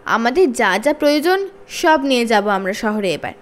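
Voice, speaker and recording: Woman reading as a practical father, high-pitched. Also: very animated, normal speed. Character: sensible, practical frontier father.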